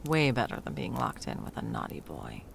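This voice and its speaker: A woman's voice: very deep voice, huge pitch swings, normal speed, vocal fry, slight background noise, exaggerated voice. A woman playing a bumbling, flustered female Christmas elf in a whimsical comedic audio roleplay, evasive and sarcastic, rambling and backtracking as she goes. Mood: pleading, wry.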